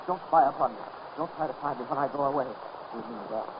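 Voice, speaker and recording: An actor playing an anguished, evasive husband with a dark secret, halting and imploring in melodramatic 1930s radio style, on a noisy muffled recording. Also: male voice, medium pitch, lightly acted. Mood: frantic pleading. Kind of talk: 1930s radio horror acting.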